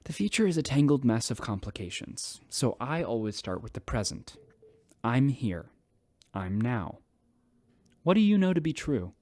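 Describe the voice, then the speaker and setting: A man voicing a calm, reflective member of a spiritual order. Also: medium pitch, normal speed, natural voice.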